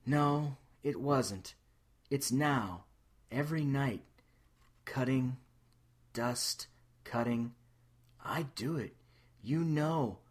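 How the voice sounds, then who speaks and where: A man voicing a troubled woman of about sixty in a story reading, speaking haltingly in broken fragments. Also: medium pitch, husky. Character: troubled sixty-ish woman, haunted, halting, institutionalised slayer. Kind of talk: audio fan fiction reading.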